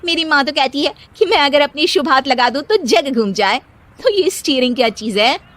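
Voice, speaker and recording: A woman voicing a proud, bossy young wife in a cartoon story, boastful and quick to snap back. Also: high-pitched, lively intonation, fairly bright tone. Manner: brash, boastful, snapping back. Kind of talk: animated cartoon story dialogue.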